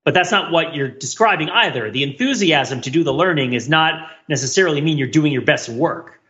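A man's voice: on the high side, lively intonation, brisk, a bit bright, highs cut off. A man co-hosting a casual podcast, thinking aloud in an analytical, discursive way. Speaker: podcast co-host. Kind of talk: casual panel chat.

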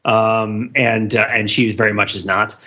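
A man co-hosting a podcast, discussing film casually in a digressive, animated, argumentative way with mock-exasperated emphasis. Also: on the low side, measured pace, highs cut off. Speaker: podcast co-host.

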